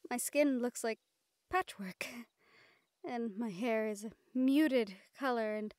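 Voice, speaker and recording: A woman's voice: on the high side, slow, no room sound, clean studio sound. A woman playing a shy, sweet, self-conscious young nephilim woman in love, speaking hesitantly and tenderly in a romantic audio roleplay. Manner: hesitant, tender, breathy hushed passages.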